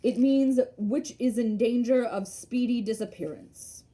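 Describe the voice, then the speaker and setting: A woman's voice: fairly high pitch, measured pace, dark tone, lightly acted. A woman voicing a self-important old male geographer from a storybook, lecturing with pedantic emphasis. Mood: matter-of-fact.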